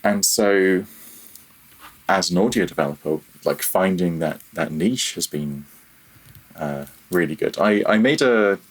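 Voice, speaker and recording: A man's voice: low voice, unhurried, low-quality recording. A man speaking casually and reflectively as a podcast guest, hesitant and self-deprecating in how he phrases things. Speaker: podcast guest, freelance audio developer.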